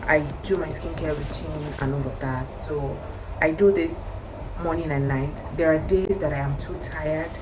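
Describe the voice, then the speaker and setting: A woman with a croaky morning voice, chatting to camera in a skincare vlog and repeating her advice for emphasis. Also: deep voice, fairly even intonation, measured pace, background noise. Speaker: skincare vlogger. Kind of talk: casual talk to camera.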